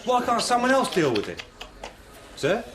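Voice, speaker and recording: An actor playing an insolent, grudging British detective sergeant in a radio drama. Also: male voice, extremely high pitch, wildly swooping pitch, normal speed, a bit bright, heavily acted. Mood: cheeky. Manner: cheeky, grudging. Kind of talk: BBC radio drama acting.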